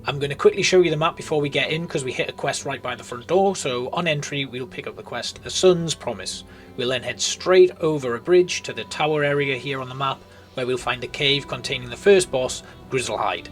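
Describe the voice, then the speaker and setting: A man's voice: medium pitch, fairly quick. A man giving informal, explanatory commentary as the host of a video game walkthrough. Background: music.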